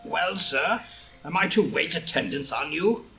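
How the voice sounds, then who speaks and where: A man's voice: on the high side, expressive, unhurried, sharp tone, faint music behind, heavily acted. A man playing a grumpy, pompous aristocratic old father who scolds his son in an audio drama reading of a stage comedy. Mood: irritated, scolding.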